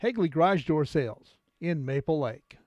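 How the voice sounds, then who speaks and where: An American man reads a radio commercial in a conversational, scripted style. His pitch is a little high, and the recording is dry with no room sound. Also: lively intonation, moderate pace, a little mellow, dry recording.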